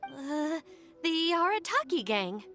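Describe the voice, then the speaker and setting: A woman's voice: sits high, big pitch swings, slow pace, sharp tone, faint music behind, heavily acted. An actress playing a composed, articulate young woman in a video game, speaking in formal, evasive corporate phrasing. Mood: polite, guarded. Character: composed, articulate young woman, smoothly covering for her gang.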